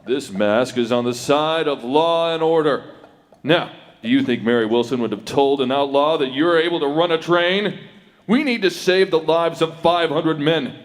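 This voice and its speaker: A man's voice: fairly high pitch, normal speed, close to an everyday voice. An actor playing a commanding, resolute masked cowboy lawman in 1930s radio western style, speaking in urgent, emphatic orders. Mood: firm, insistent. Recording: reverberant hall, live stage performance.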